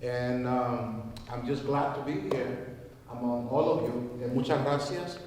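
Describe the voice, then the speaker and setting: A man's voice: mid-range voice, fairly even intonation, measured pace, darkish tone. A man making informal remarks at a community event. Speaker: government construction office employee introducing himself. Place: large indoor hall at a community gathering. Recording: echoey hall, distant mic, faint noise.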